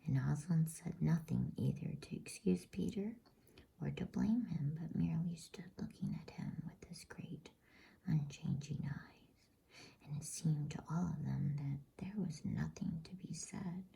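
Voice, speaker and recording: A woman reading a bedtime story in a soothing, breathy ASMR whisper, hushed and unhurried. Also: sits low.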